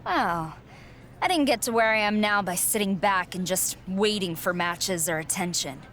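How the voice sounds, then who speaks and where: A self-absorbed young wrestling heel from a video game voiced by a woman. She sounds smug and sure of herself at an average female pitch. A faint ambience sits behind the voice. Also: animated, normal speed, a bit bright, a little hiss or hum, natural voice. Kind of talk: scripted video game cutscene dialogue.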